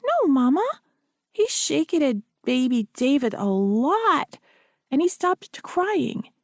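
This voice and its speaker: Woman doing a little girl, eager, pleading, high-pitched, slow. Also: big pitch swings, no echo, clean studio sound, heavily acted. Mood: earnest.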